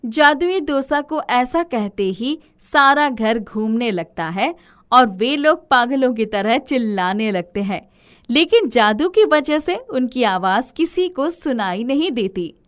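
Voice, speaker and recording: A woman's voice: fairly high pitch, lively intonation, takes it slowly. A woman narrating a scripted moral story as its storyteller.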